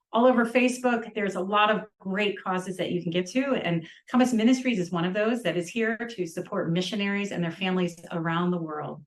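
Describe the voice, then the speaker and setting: A woman clinical director hosts a live stream in a mid-range voice. She sounds welcoming and affirming toward her guest. The room around her is noticeably echoey. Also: mid-range voice. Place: Tampa, Florida.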